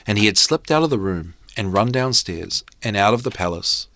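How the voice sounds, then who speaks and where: A man reading a fairy tale aloud as a storyteller, in a measured, theatrical way. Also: on the low side, lively intonation, fairly bright tone, no echo. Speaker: storyteller and host. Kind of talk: expressive fairy tale narration read aloud.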